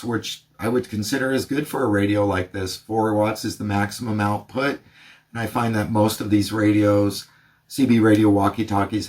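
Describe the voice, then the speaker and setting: A man doing radio test calls and product review narration in a plain, measured way. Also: on the low side. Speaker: host of a radio review channel.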